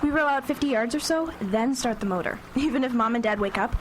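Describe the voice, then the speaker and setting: A woman's voice: a little high, quick pace, close to an everyday voice. An actress playing a sarcastic, headstrong fifteen-year-old girl in a live radio drama, with a dry, teasing delivery. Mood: sarcastic, needling. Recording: noisy live radio recording.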